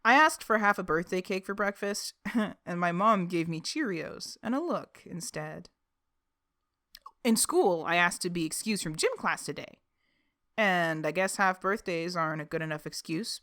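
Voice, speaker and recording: Animated, cheeky twelve-year-old girl. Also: on the low side, a little slow. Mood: chatty, cheeky. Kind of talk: audio drama diary monologue. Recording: music behind.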